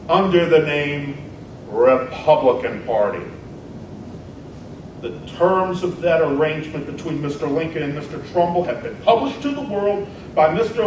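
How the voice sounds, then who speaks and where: A high-voiced, fiery nineteenth-century senator acted by a man declaims a stump speech in emphatic, deliberate phrases. The sound is very echoey and distant, with clear rumbling noise around the voice. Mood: accusing. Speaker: reader in a dramatic debate reenactment. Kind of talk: dramatic reading of a 19th-century political stump speech. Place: large echoing indoor event room. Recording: echoey hall, distant mic, noisy rumble.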